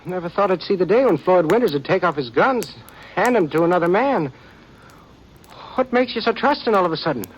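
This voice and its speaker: An actor playing a nervous, treacherous small outlaw in a radio western, with folksy, hesitant rural speech on an old recording. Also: male voice, sits high, animated, brisk. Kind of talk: 1950s radio western acting. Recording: noisy vintage radio recording.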